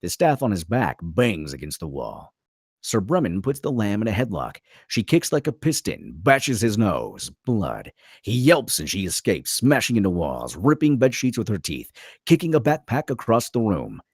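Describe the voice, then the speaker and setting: Low, animated man narrating a screenplay. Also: moderate pace, husky, no room sound, clean studio sound. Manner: theatrical, punchy, clipped phrases. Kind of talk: dramatic reading of screenplay stage directions.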